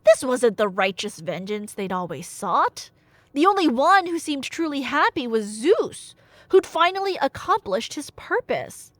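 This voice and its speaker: Female storyteller, high voice, unhurried delivery, expressive and wry, for a casual dramatic myth retelling. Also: no echo. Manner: expressive, conversational, wry asides.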